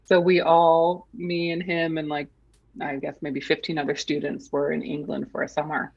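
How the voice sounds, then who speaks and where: A woman author speaks over a video call in a lowish voice, and the remote line sounds slightly dull. She tells stories in a relaxed way and stops to rethink her phrasing. She laughs easily with the hosts. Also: normal speed, vocal fry. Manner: relaxed anecdotal storytelling with hesitations. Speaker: author guest. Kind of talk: interview storytelling.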